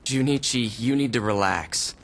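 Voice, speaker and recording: A man's voice: mid-range voice, normal speed, vocal fry, a bit bright. A man acting an arrogant, impatient young Japanese crime heir in a video game, condescending and bossy. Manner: condescending, commanding.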